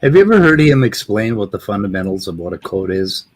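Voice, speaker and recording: Low husky man, dryly sardonic. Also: big pitch swings, fast talker. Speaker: host. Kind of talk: casual panel discussion.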